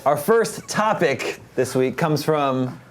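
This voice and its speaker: A man's voice: fairly high pitch, animated, takes it slowly, faint music behind. A man hosting an unscripted podcast, talking in a joking, playful way. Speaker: podcast host.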